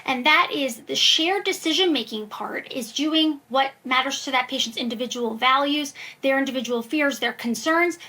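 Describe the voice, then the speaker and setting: High, bright-voiced woman explaining emphatically. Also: moderate pace, hardly any pauses. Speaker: guest expert, preventive cardiologist. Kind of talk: conversational podcast interview answers.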